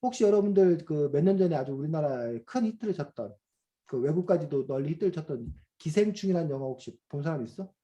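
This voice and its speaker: Middle-aged guy teaching a kids reading class on a video call, kinda high but mellow voice, lots of "uh"s, keeps asking the kids stuff. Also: lively intonation, fast. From South Korea. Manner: explanatory, many fillers, asks students questions. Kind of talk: online class lecture to children.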